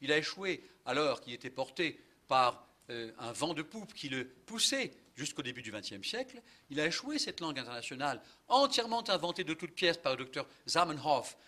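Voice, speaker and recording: An older man giving a conference lecture, animated, ironic and polemical, with many asides and fillers. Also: a little high, normal speed, few pauses. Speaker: conference speaker, linguist. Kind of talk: lively lecture to an audience. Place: conference hall.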